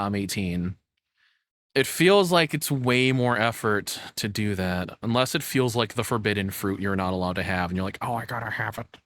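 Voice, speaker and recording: Low-pitched man, casual rambling commentary. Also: fairly bright tone, no echo. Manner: rambling, conversational, sardonic. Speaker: reaction video host. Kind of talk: casual off-the-cuff reaction commentary.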